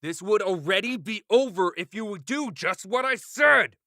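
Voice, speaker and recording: A man's voice: high voice, lively intonation, moderate pace, sharp tone, no echo, clean studio sound, full character voice. A man playing a stubborn, cagey younger elf brother in a fantasy audio drama, insistent and exasperated. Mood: agitated.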